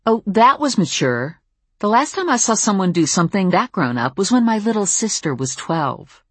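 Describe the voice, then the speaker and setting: A wry, sarcastic heroine, played by a woman narrator teases in a husky voice. Her audiobook dialogue is lively and varied in pitch, with a dry edge. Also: moderate pace, no echo. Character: wry, teasing adult heroine talking to companion.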